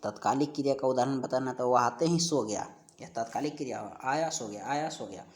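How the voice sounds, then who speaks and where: A man's voice: medium pitch, fairly quick. A man teaching a grammar lesson for exam preparation, instructive and repeating each question and answer for emphasis. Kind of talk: lesson going through practice questions.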